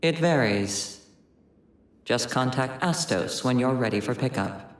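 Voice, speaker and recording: An actor playing a detached, officious overseer giving a formal, matter-of-fact briefing in a video game. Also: male voice, medium pitch, animated, unhurried, natural voice. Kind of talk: cinematic video game acting. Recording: echoey, reverberant voice.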